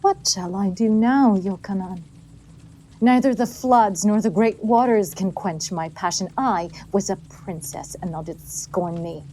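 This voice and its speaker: A woman's voice: medium pitch, a little slow, dark tone, a little hiss or hum, natural voice, poor audio. A woman playing an obsessive, lovestruck young princess, cruel and bereft, in a theatrical classical audio drama. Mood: desperate longing.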